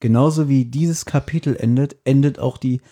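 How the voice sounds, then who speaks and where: A man's voice: mid-range voice, fairly even intonation, moderate pace, dark tone. A man co-hosting a podcast, casually retelling and discussing an audio drama. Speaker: podcast co-host.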